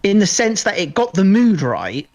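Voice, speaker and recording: A man's voice: high-pitched, wildly swooping pitch, moderate pace, darkish tone, dull audio. A man co-hosting a film podcast in casual chat, rambling and often restarting his words. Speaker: podcast co-host discussing films.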